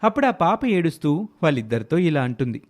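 A man's voice: fairly high pitch, sing-song, fast. A man telling a scripted story as its narrator, acting out bits of dialogue.

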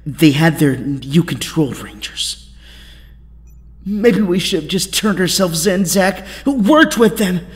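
A despairing young male hero played by a man, speaking slowly and haltingly with wide swings of pitch. Long reverb surrounds the breathy audio-drama performance. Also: very animated, full character voice.

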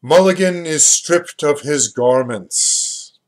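A man's voice: on the high side, slow pace, pitched above the performer's own voice, rough recording. A man reading a novel aloud as a brash, theatrical young man, bombastic and jeering. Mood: resigned.

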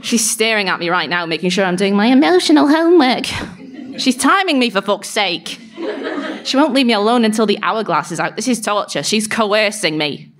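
A woman's voice: medium pitch, lively intonation, normal speed, lightly acted. A grumpy female fey creature acted by a woman grumbles through a diary monologue. The delivery is sardonic, sweary and complaining, as in a live fantasy audio drama. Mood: exasperated. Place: live on stage at a venue.

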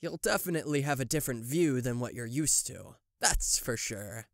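A man's voice: mid-range voice, animated, moderate pace, gravelly, no room sound, clean studio sound. A man playing a smug, possessive demon boss in an ASMR roleplay, theatrical, slick and falsely polite. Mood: smug, persuasive.

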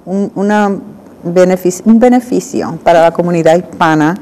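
A woman's voice: fairly low pitch, moderate pace, slight background noise, low-quality recording. Woman hosting a studio TV interview. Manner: warm, repeats guest's details back. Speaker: host. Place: small TV studio set. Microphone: lapel.

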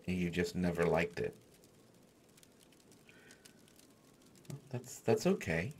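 A man playing a gentle, awkward human artificer in a fantasy audio roleplay, stammering and hesitant as he speaks. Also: deep voice, expressive, normal speed, slight background noise. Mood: soothing. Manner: stammering, hesitant, soft-spoken reassurance.

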